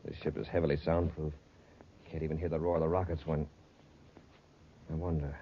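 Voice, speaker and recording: An actor playing a steady, commanding space patrol commander in a 1950s radio serial, authoritative and deliberate. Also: male voice, a bass voice. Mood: grim, urgent. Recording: muffled, very noisy old radio recording.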